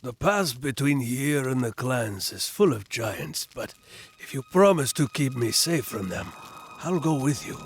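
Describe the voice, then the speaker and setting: A man's voice: medium pitch, very animated. An actor playing an old, weary Norse man who speaks portentously and foretells doom in a fantasy game. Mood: wry, bargaining. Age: older. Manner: slow, portentous.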